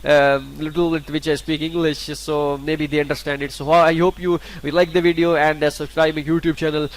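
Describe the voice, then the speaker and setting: Halting man. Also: fairly high pitch, level tone, rough recording. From Pakistan. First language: Urdu. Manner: halting, many fillers and restarts. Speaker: scholarship guide YouTuber. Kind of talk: screen-recorded tutorial walkthrough.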